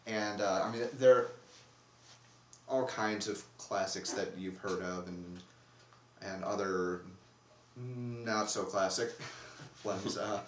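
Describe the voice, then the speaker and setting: A man's voice: lowish voice, fairly bright tone. Rambling man in an echoey room. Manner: rambling, self-interrupting. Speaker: co-host. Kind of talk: casual discussion. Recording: echoey room, distant mic, faint noise.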